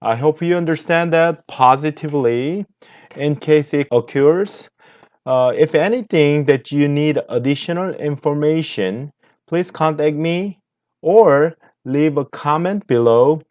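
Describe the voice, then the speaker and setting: A man from Korea, a native Korean speaker with a Korean accent, giving an earnest leadership lecture as a martial arts master over slides, unhurried, pausing often. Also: a little high, muffled recording. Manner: earnest, unhurried, with frequent pauses and fillers.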